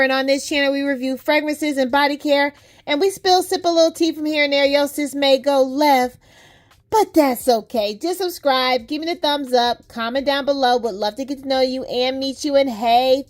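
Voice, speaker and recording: A woman vlogging about fragrances in a lively, chatty way, using playful slang. She says her nose is a little stuffy. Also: sits high. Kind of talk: casual chat to camera.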